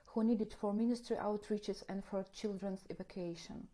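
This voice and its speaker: A woman's voice: medium pitch, fairly even intonation, moderate pace, mellow. A woman from Ukraine with a Ukrainian accent, giving an earnest, explanatory first-hand report as a ministry coordinator. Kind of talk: interview, first-hand account.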